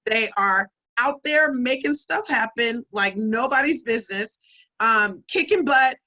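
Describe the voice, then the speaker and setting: Bright, chatty woman hosting. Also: a little high, animated, measured pace, rough recording. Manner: enthusiastic, chatty, laughs easily. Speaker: event host. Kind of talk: online event hosting. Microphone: video call.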